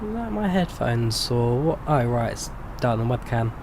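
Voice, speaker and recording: A man's voice: mid-range voice, normal speed, vocal fry, noisy background. A man in his twenties with an English accent, chatting casually with viewers on a live stream and answering their questions. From England. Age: 20s. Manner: relaxed, chatty, answers chat questions with frequent ums. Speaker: flight sim streamer.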